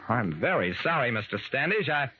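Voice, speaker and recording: An actor with an American accent playing an affable private detective in an old radio drama. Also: male voice, mid-range voice, sing-song, fairly quick, lo-fi sound, lightly acted. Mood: apologetic, puzzled. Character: affable male private detective narrating his casebook. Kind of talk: 1940s-50s radio acting. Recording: noisy, band-limited old radio recording.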